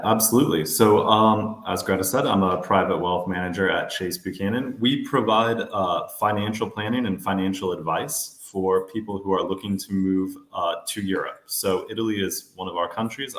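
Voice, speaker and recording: An American man in his thirties, a financial adviser giving a webinar presentation in a steady, explanatory way with some fillers. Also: low voice, moderate pace, few pauses, echoey room. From United States.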